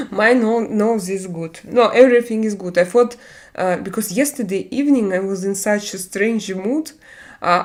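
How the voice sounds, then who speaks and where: Russian-accented woman hosting a live stream. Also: mid-range voice. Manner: halting, frequent "uh" fillers while searching for English words. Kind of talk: casual live-stream chat reading viewer messages.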